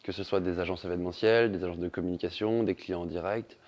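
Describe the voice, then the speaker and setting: A man's voice: sits low, steady tone, fast talker, darkish tone, lo-fi sound. A man speaking as a sales manager in an interview, explaining his work and often repeating words as he searches for phrasing. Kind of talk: recruitment interview testimonial.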